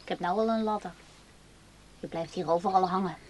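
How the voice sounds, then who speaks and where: A woman's voice: fairly low pitch, a little fast, darkish tone, natural voice. A woman acting in a radio play as a blunt, bored young woman, curt and offhand with her older lover. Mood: bored, irritated. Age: young adult. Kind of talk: naturalistic radio play dialogue.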